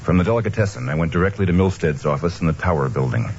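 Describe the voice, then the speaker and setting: A man's voice: low-pitched, animated, very fast, darkish tone. A man playing a kindly, determined young newspaperman in an old-time radio drama, acting and narrating in a matter-of-fact, clipped way. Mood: brisk narration. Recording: dull, noisy old radio recording.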